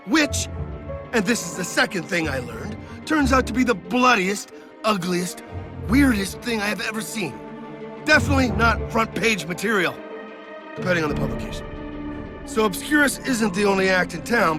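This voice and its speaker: An actor playing a cocky middle-aged male photojournalist, sardonic, flippant and casually profane, in a video game. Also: high-pitched. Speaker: protagonist, photojournalist. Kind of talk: video game acting with storytelling narration.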